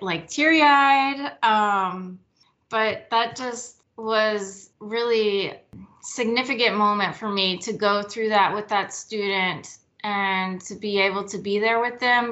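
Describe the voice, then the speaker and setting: A woman's voice: medium pitch, fairly bright tone. A woman hosting an interview, speaking in a heartfelt, sincere way. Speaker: program host. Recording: dull lo-fi audio.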